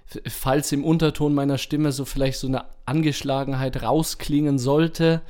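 A man with a mid-pitched voice chats as a podcast co-host. He talks in a loose, rambling, colloquial way and drops in bits of English slang. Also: fairly even intonation, moderate pace, warm tone. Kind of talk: casual chat.

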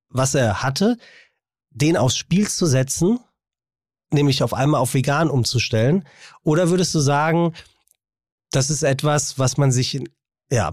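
A man's voice: medium pitch, darkish tone, no echo, studio quality. A man talking casually as a podcast interviewer, phrasing his thoughts in a hesitant, roundabout way. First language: German.

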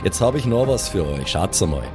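A man's voice: low-pitched, very animated, a little fast. A man acts out an unfriendly Austrian camper in an improvised role-play audio drama. He grumbles in blunt, colloquial phrases, with prominent music underneath. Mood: grave, grumbling. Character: grumpy, unfriendly Austrian festival camper Johann.

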